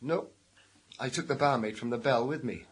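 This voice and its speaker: Man playing husky, surly ex-convict. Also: mid-range voice, normal speed, natural voice. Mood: cagey, matter-of-fact. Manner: surly, slangy, defensive. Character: defiant, cagey male ex-convict, street-hardened armed robber. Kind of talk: radio crime drama acting.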